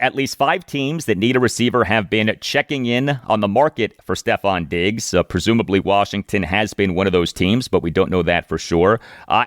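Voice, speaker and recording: A man hosting a sports podcast solo, giving commentary and reading out messages and quotes in emphatic, deliberate phrasing. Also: lowish voice, no echo, studio quality.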